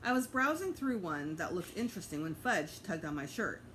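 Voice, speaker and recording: A woman's voice: on the low side, big pitch swings, normal speed, a bit bright, a little hiss or hum, low-quality recording. A woman narrating a children's book aloud as a teacher reading to students.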